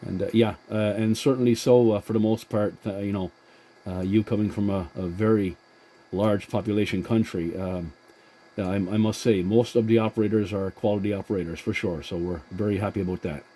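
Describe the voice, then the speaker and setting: Low, mellow man on CB radio. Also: steady tone, slight background noise. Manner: courteous, halting with many hesitations. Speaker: CB radio operator and channel host. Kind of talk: casual two-way radio chat.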